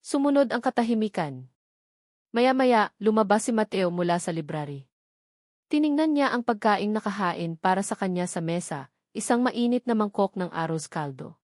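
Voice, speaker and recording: A woman narrates a scripted romance drama. Her voice sits at a middle pitch. The recording is very dry, with hardly any room sound. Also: animated, normal speed, darkish tone, dry recording.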